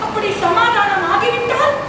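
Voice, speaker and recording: Brief: actress as a wronged queen, earnest and pleading, high voice heard from afar. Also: normal speed. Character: wronged vow-bound queen Draupadi, woman. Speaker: stage actor. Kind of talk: stage play acting. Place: auditorium stage. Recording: distant echoey hall, noisy.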